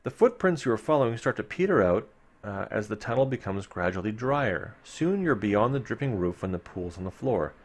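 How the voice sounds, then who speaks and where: A man's voice: lowish voice, sing-song, fairly quick. A man live-streaming a read-aloud of an adventure gamebook, mixing storytelling narration with casual asides to his viewers.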